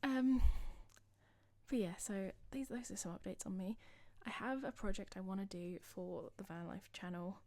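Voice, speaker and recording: Chatty woman, slightly husky. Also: medium pitch, steady tone, normal speed, darkish tone, dry recording. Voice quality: slightly husky, losing her voice. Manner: rambling, chatty, self-deprecating. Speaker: gaming channel host. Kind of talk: casual voice-over commentary.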